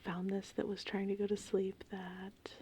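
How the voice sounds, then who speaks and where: A woman whispering in a casual, meandering, unhurried ASMR ramble, with a breathy, creaky voice. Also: medium pitch, slight background noise. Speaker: ASMR host. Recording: steady rain and thunderstorm ambience. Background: gentle rain and thunder.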